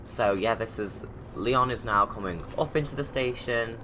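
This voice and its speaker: A man's voice: medium pitch, moderate pace, pushed and bright, slight background noise, low-quality recording. A man giving casual, informal livestream commentary, rambling and thinking aloud as he goes. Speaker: video creator giving commentary.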